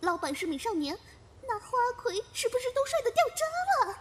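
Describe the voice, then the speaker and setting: A woman's voice: very high-pitched, very animated, a little fast. A woman playing a melodramatic, overworked servant girl in a comedy audio drama, whining and exclaiming with cheeky desperation. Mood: starstruck. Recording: loud music behind the voice.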